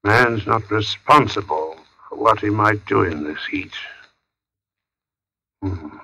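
Odd, sharp-voiced brooding husband. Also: male voice, fairly low pitch, slow pace, creaky, breathy edge, heavily acted, poor audio. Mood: brooding, ominous. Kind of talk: old radio drama acting.

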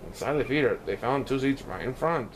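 A man reading a picture book aloud in an expressive way, now and then stumbling over words. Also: mid-range voice, a little fast, background noise. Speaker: children's book reader.

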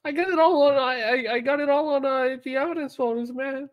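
A man's voice: very high-pitched, fairly even intonation, fast talker, lo-fi sound. A man roleplaying a police officer in casual, improvised game chat. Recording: game voice chat, faint noise.

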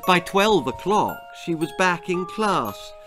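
A man's voice: high voice, very animated, slow pace, over music. A man in his sixties reading a children's horror story dramatically, savouring his words. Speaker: author reading own story. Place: small book-lined room indoors.

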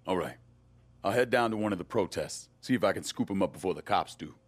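An actor playing a tough, cool American ex-soldier in a video game, terse and dryly sardonic. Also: male voice, sits low, animated, quick pace. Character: tough, cool male ex-soldier turned bounty hunter.

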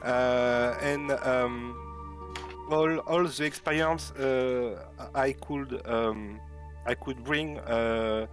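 A man's voice: medium pitch, fairly even intonation, very slow, over music. A native French-speaking man with a French accent, chatting casually and hesitantly as he searches for words. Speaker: guest developer, new team member. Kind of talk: casual chat.

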